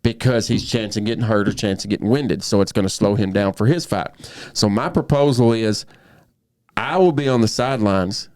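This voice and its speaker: A man in his thirties speaks in a fairly low voice, earnest and unhurried as he tells his story on a casual podcast. He often stops and restarts mid-sentence. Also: darkish tone, no room sound. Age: 30s. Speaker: professional bullfighter guest.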